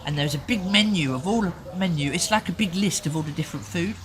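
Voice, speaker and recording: A woman telling an improvised bedtime story to a child, chatty and expressive, with asides to the listener. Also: fairly low pitch, fast, crowd noise. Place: in a tent.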